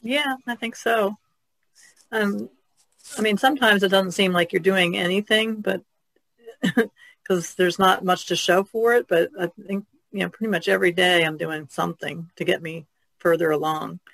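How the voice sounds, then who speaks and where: A woman chats casually as a guest. Her voice has plenty of creak and sits at a medium pitch. It is recorded dry. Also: steady tone, normal speed, a bit bright, no room sound, poor audio. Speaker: artist, podcast guest. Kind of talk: casual chat.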